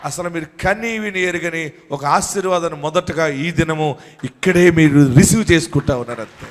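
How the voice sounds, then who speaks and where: A man's voice: fairly high pitch, normal speed, slight background noise. A man preaching a fervent sermon as pastor, repeating and exhorting his congregation. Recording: long hall reverb.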